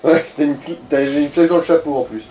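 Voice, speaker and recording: A man's voice: on the high side, brisk, warm tone, slight background noise. A man chatting casually as a companion during a video game session. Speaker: gaming companion. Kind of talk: casual gaming chat. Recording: roomy echo, faint music behind.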